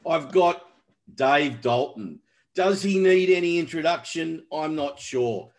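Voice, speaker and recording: A man hosting a casual podcast interview, excitable and jokey, often laughing. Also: a little high, slow pace, noisy background. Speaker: podcast host.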